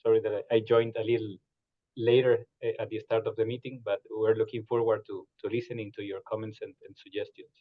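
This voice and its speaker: A man's voice: on the low side, little pitch movement, quick pace, phone-quality sound. A polite, apologetic man greeting an online meeting through earphones on a video call.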